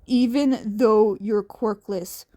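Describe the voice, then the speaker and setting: A woman voicing a gruff, impatient, weary older male hero who speaks curtly and bluntly in an audiobook story. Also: medium pitch, slow, dark tone, lightly acted. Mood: earnest. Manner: curt, blunt, insistent. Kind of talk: audiobook character acting.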